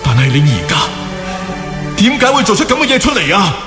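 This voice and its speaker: A stern, wounded boss in a radio drama, reproachful and emphatic. The voice is fairly high and swings widely in pitch, ringing in long reverb. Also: male voice, huge pitch swings, a little fast. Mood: hurt, reproachful. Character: stern, wounded male bakery boss, betrayed elder brother. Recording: long echoing reverb, music underneath.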